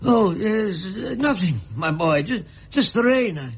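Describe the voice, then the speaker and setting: A man's voice: sits high, expressive, a little slow, a little hiss or hum. An actor playing an anxious, distracted but kindly elderly grandfather in a radio drama, speaking in a hesitant, faltering way. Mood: uneasy, faltering. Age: older.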